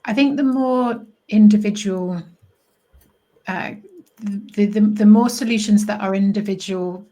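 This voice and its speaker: A woman answering as a panelist on a webinar over a video call, speaking in a measured, thoughtful way. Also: medium pitch, warm tone.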